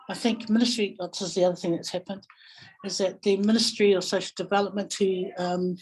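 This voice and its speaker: A woman with a New Zealand accent speaking reflectively in a webinar, pausing thoughtfully and searching for her words. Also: medium pitch, a little mellow, slightly rough, rough recording. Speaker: guest webinar speaker, family violence practitioner.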